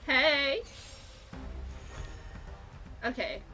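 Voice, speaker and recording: A woman streaming a video game with casual, excitable, gushing and sweary live commentary. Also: high-pitched, extremely slow. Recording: music behind the voice. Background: video game music.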